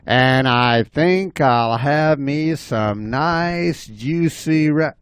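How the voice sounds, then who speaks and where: A man voicing a big, hungry bobcat in a children's story, with a drawn-out, menacing delivery. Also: on the high side, smooth, dry recording, studio quality. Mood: greedy relish.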